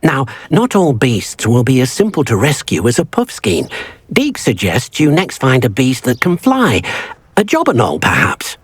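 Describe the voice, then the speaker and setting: A man's voice: wildly swooping pitch, normal speed, no room sound. An actor voicing a small, timid male house-elf servant in a video game, who talks about himself by name in an eager, fussy way. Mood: worried. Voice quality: husky, high squeaky. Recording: game audio with faint music.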